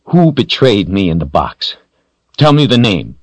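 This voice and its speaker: A man's voice: sits low, animated, brisk, a little mellow, husky, dry recording. A man voicing a defiant, stubborn captive hero in an audiobook, speaking bluntly and with tension. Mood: angry defiance. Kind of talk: audiobook character voice.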